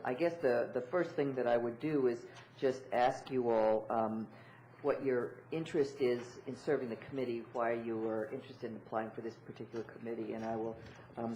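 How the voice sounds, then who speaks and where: An American man moderates a formal public meeting. He speaks haltingly, feeling his way through procedure. The room is echoey, with clear background noise. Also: mid-range voice, fairly even intonation, darkish tone. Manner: hesitant, halting. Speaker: meeting chair. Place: indoor council meeting room.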